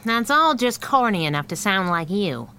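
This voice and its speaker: An actress playing a wry, sceptical but affectionate wife in a 1930s-style radio drama, sassy and teasing. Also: female voice, medium pitch, sing-song, moderate pace, pushed and bright, a little hiss or hum.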